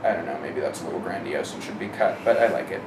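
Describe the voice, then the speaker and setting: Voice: sits low, quick pace. Emphatic speaker in a noisy, echoey room. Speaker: interviewee giving testimony. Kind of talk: personal testimony in an interview. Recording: echoey room, clear background noise.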